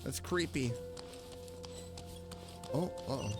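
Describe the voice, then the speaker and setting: A man doing casual live gameplay commentary, expressive, reacting aloud and talking to the characters. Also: mid-range voice, takes it slowly, music in the background. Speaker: game streamer.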